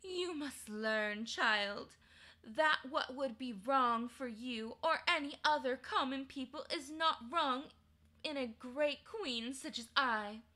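A woman's voice: high-pitched, sharp tone, voice pushed up, heavily acted. A woman voicing a proud, cruel ancient queen in a storybook reading, haughty and declaiming.

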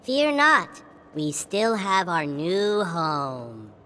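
A performer voicing a small, childlike toy alien in a video game, with solemn, reverent, drawn-out proclamations. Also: medium pitch, big pitch swings, crowd noise. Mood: solemn awe. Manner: slow, drawn-out, awestruck proclamations. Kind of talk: animated video game dialogue.

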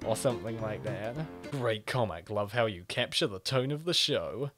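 A man's voice: lowish voice, expressive, moderate pace. A man hosting a comic reading with casual, sarcastic comedic commentary. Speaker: host and comic reader. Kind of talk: casual comedic commentary and mock-dramatic narration. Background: music.

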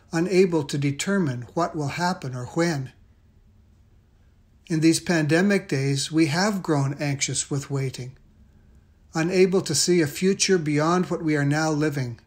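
An older male pastor speaks in a somewhat high-pitched voice. He delivers his prayer and sermon deliberately, in short, measured clauses. The room adds a clear echo around his words. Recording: roomy, echoing indoor sound.

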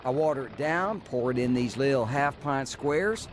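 A man's voice: medium pitch, animated, normal speed, lightly acted. Guy acting brash young hoodlum, slangy, very up and down, radio drama, bit of background noise. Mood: cocky, confiding. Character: cocky, grumbling young male criminal.

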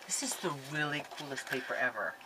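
A woman's voice: low-pitched, lively intonation, fairly quick, noisy background. A woman in her fifties chatting in a rambling, gushing way while showing craft supplies from her craft room, recorded on a desk webcam. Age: 50s. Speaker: scrapbooking vlogger. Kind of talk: casual chatty haul video. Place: craft room at home, indoors.

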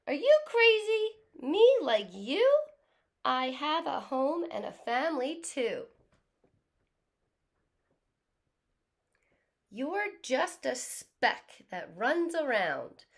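A woman's voice: high voice, very animated, slow, voice pushed up, heavily acted. A woman voicing a big, bossy, scornful young boy from a storybook, declaiming rhymes emphatically. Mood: incredulous, scornful.

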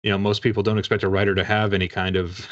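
Male, 40s, lowish register, rambling self-deprecating author in casual interview, slightly muffled call sound. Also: little pitch movement, rapid-fire. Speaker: guest author. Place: home room with bookshelves, video call. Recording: dull, lo-fi call audio.

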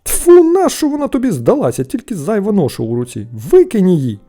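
A man's voice: a little high, animated, fairly quick, gravelly, warm tone, faint music behind, voice pushed up. A man voicing a scolding, exasperated peasant elder brother in a storybook tale, scornful and nagging.

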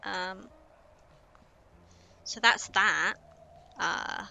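A woman's voice: mid-range voice, extremely slow, creaky, pushed and bright. A young woman, chatting casually to herself while playing a building game on stream. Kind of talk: casual gameplay commentary.

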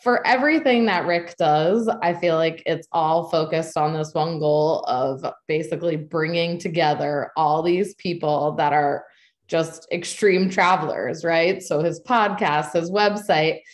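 A woman's voice: low voice, measured pace. A young woman in her twenties hosting a video-call webinar from her living room, gushing and upbeat with lots of filler words. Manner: bubbly, gushing, many likes and ums. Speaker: host. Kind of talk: enthusiastic host moderating a webinar. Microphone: webcam on a video call.

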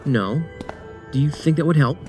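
An actor playing a dry, stubborn special agent in an audio drama, deadpan and matter-of-fact. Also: male voice, fairly high pitch, normal speed, music in the background, close to an everyday voice.

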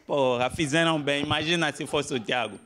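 A man's voice: fairly high pitch, normal speed, a bit bright. Angolan male stand-up comic, noisy live club. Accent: Angolan Portuguese. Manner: colloquial, peppered with swearing. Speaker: stand-up comedian. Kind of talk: stand-up comedy. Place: comedy club stage with audience. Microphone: handheld microphone.

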